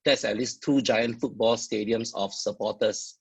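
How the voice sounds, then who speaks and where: A man with a Singaporean accent giving a founder's talk, pausing often with "uh" fillers. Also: mid-range voice, steady tone, creaky, breathy edge. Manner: halting, frequent "uh" fillers. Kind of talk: presentation with many "uh" fillers.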